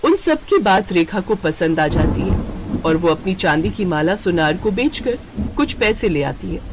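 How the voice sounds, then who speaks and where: A woman's voice: lowish voice, lively intonation, unhurried. A woman reading scripted narration for a moral story as its narrator. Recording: heavy noise behind the voice.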